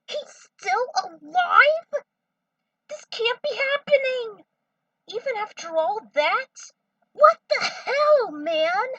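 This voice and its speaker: A woman's voice: extremely high pitch, animated, slow pace, bright, forceful tone, distant mic, lo-fi sound, voice pushed up, full character voice. A woman voicing alarmed, defiant young heroes in an amateur dramatic story reading, exclamatory and emphatic. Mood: shocked.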